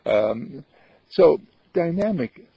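Husky man chanting affirmations. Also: a little high, big pitch swings, extremely slow, mellow, dry recording. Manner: repetitive affirmations, chuckling. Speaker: meditation teacher. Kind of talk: spiritual talk. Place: outdoors on a path. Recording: dull dry lo-fi recording.

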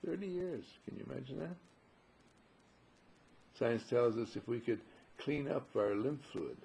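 A man gives a spiritual talk in a very husky, gravelly voice with a mellow, dark tone. He teaches through rhetorical questions and leans on repeated phrases to drive each point home. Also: medium pitch, expressive, measured pace. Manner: rhetorical questions, emphatic repetition.

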